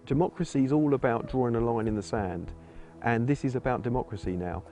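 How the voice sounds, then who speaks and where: Loud, emphatic protesting man. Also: mid-range voice, brisk, soft background music. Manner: forceful, emphatic with restarts.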